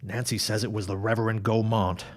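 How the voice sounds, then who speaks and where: A man's voice: on the low side, flat delivery, fairly quick, close to an everyday voice. An actor playing a resolute, protective father and neighbor of the early twentieth century in an audio drama. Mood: grave.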